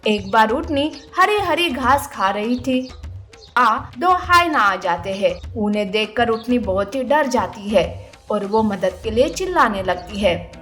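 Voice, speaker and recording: A woman's voice: on the high side, expressive, a little slow, fairly bright tone, faint music behind. A woman narrating a scripted children's story as the storyteller.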